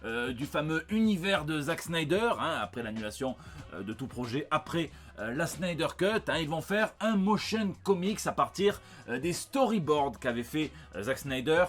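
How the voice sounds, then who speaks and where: A man's voice: fairly high pitch, big pitch swings, moderate pace, faint music behind. A man with a French accent hosting a news review show in a wry, chatty way, mixing scripted reporting with joking asides. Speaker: host of a comic book news show.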